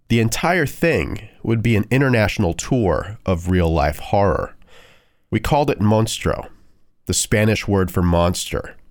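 Low-voiced man narrating dark true crime. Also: no room sound, clean studio sound. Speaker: podcast host and narrator. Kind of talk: scripted narration of a true-crime horror podcast. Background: music.

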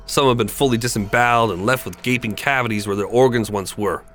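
A man narrating a dark scripted horror story in a measured, deliberate, dramatic way over music. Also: medium pitch. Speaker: podcast narrator. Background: background music.

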